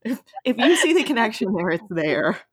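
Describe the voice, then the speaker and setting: A woman's voice: fairly high pitch, very animated, normal speed, husky. A woman hosting a podcast in casual, informal, emphatic conversation. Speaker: podcast host. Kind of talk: casual chat.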